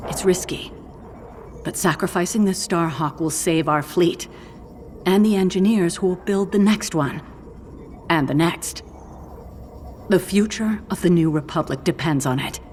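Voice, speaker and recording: A woman's voice: fairly low pitch, unhurried, pauses often, darkish tone, slight background noise, lightly acted. A woman playing a resolute rebel officer in a video game, giving a firm, urgent, earnest mission briefing. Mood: urgent, determined. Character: resolute female rebel officer briefing pilots before battle.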